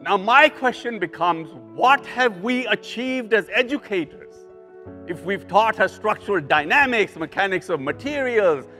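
An older man giving a motivational keynote on stage in a large conference hall, animated and joking with preacher-like emphasis. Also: sits high, measured pace, soft background music. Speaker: keynote speaker. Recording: long hall reverb.

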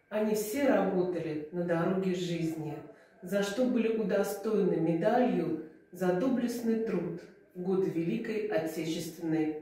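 A middle-aged woman from Leningrad giving a slow, solemn memorial tribute, filmed indoors at a medium distance. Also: medium pitch, mellow. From Leningrad, Russia. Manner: slow, measured and reverent. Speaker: challenge participant. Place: indoors, in front of a projected backdrop. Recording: very echoey room.

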